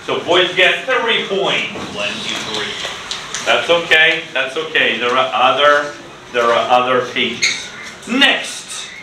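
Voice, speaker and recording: Slow-talking male teacher, echoey classroom. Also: a little high. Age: middle-aged. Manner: deliberate, simple phrasing with repeated prompts and praise. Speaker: English teacher. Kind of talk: interactive classroom teaching to children. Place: classroom, indoors. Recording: echoey, noisy classroom from far off.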